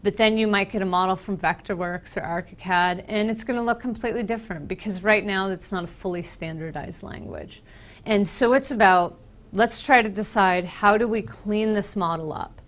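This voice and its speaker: A woman from Boston with an American accent, giving a casual, explanatory software presentation with frequent ums. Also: medium pitch, normal speed. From Boston, United States. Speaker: software trainer. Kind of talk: conference presentation.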